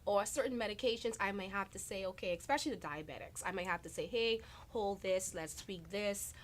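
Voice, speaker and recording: A woman's voice: medium pitch, fairly quick, slight background noise. A woman from the Bahamas speaking as a doctor and interview guest, conversational and earnest, repeating words for emphasis.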